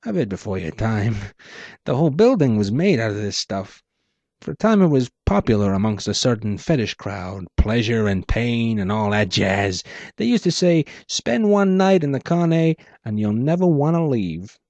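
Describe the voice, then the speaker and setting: A man voicing a gruff, growling old bar owner who smokes cigars, in a noir story reading. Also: mid-range voice, very animated, moderate pace, a little mellow, no echo, muffled recording.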